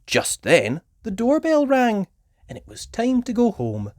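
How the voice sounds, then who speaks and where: A woman reading a children's story aloud as an expressive narrator. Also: fairly high pitch, measured pace, dry recording, studio quality. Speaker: storybook reader.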